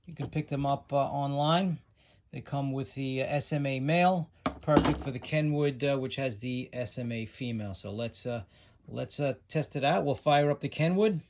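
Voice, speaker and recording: A man giving a casual, unscripted hands-on product demo, halting and pausing as he goes. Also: mid-range voice. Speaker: radio hobby reviewer, video host. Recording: loud radio static and hiss behind. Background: shortwave radio noise.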